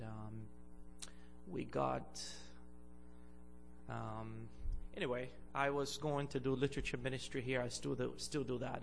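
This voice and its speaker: A young man from Bulgaria, a guest preacher, gives a sermon in a church, halting with many fillers and growing louder when he reads scripture. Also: mid-range voice, gravelly.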